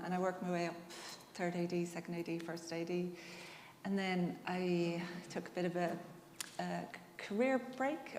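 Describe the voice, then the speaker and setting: A woman's voice: lowish voice, a little mellow. A middle-aged woman introducing herself on a panel in a hesitant, candid way. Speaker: panellist, TV drama director. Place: indoor conference hall. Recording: echoey hall with background noise.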